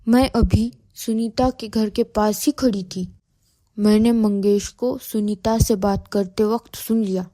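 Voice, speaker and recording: A woman's voice: mid-range voice, fairly even intonation, measured pace, dark tone, no room sound, close to an everyday voice. A woman voicing a greedy, insistent neighbour wife in a Hindi cartoon moral story. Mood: demanding, annoyed. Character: greedy, demanding neighbour wife, later remorseful.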